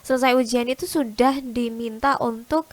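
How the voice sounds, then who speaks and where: Young woman from jogja, bit high voice, joking around on a campus podcast. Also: fairly even intonation, moderate pace, dry recording. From Yogyakarta, Indonesia. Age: 20s. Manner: playful, jokey, chatty. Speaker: guest, engineering master's student. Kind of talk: casual podcast chat.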